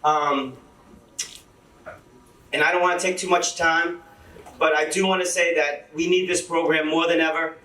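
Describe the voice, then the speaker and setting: A man's voice: on the high side, steady tone, moderate pace, pauses often, pushed and bright. Casual young male teacher speaking to a crowd, laughing often, echoey room. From Lowell, Massachusetts. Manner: chatty, laughing, storytelling. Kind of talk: casual speech to an audience. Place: event hall. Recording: echoey hall from far off.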